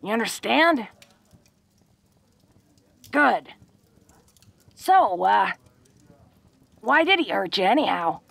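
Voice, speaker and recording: A woman's voice: mid-range voice, very animated, slow pace, gravelly, long pauses, a bit bright, husky, dry recording, full character voice. A woman playing a tough, protective, secretly awkward young orc woman in an intimate audio roleplay, with rustic slangy speech and a bashful stammer. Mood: protective, gruff.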